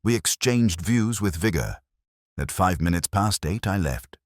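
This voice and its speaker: A man voicing a belligerent, pompous church organist in an audiobook mystery, sharp and disdainful in manner. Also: deep voice, expressive, measured pace, no room sound, clean studio sound, pitched above the performer's own voice. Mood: belligerent, indignant. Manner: sharp, snapping, disdainful, theatrical.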